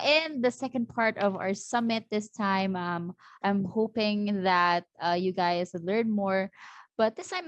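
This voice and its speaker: A woman admin welcoming a group call in a friendly, halting way with fillers. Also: mid-range voice, a bit bright, clear, resonant tone, no echo, highs cut off.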